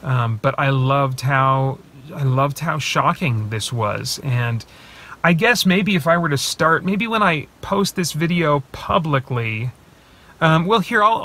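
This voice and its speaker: A man in his thirties talking casually to a phone camera from inside his parked car, thinking aloud with many pauses and restarts. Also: fairly high pitch, lively intonation, bright, forceful tone. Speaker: movie reviewer and channel host. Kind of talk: casual spoiler talk to camera. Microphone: phone camera at arm's length.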